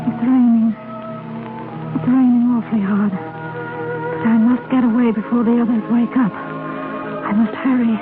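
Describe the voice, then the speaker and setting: A woman's voice: on the high side, steady tone, measured pace, pauses often, lightly acted. An actress playing a tender, sorrowful blind woman drifting in delirium, in a 1930s radio drama. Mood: dazed, sorrowful. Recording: muffled old radio, loud music underneath. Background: loud music.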